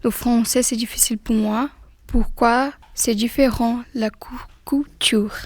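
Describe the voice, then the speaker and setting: A woman's voice: on the high side, fairly even intonation, measured pace, a little mellow. A young woman in her late teens recites a testimony as a student, repeating short, simple sentences.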